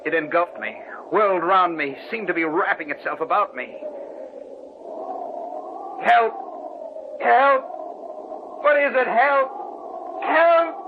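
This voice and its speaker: A man's voice: high voice, lively intonation, takes it slowly, pauses often, background noise, heavily acted. Anguished, haunted man, muffled old radio. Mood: panicked, pleading. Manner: melodramatic, anguished, swinging from hushed narration to cries. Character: terrified disembodied man, widower, recounting his doom in anguish. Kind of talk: old-time radio horror acting. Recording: muffled band-limited old radio recording.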